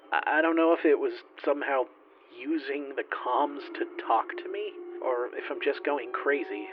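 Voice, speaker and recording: A man playing a bitter, haunted lone flight engineer recording halting, sardonic log entries in a sci-fi horror audio drama. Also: mid-range voice, natural voice. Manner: halting, confessional, sardonic, profane. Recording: dull lo-fi, faint noisy radio-like hiss.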